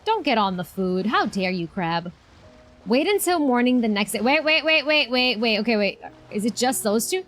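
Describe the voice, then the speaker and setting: A woman streamer with a fairly high voice reacts casually to a game. She chats and thinks out loud in a loose, informal way. Soft game music sits behind her. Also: big pitch swings, moderate pace. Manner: chatty, thinking aloud.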